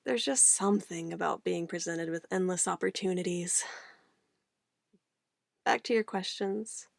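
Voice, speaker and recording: Woman acting a close, creaky-voiced obsessive witch. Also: fairly low pitch, a little slow, dry recording. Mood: playful, giddy. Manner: intimate, cooing, theatrical, shifting between soft whispers and firm commands. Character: obsessive yandere witch, sweetly menacing, possessive, giddy. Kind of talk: ASMR roleplay monologue.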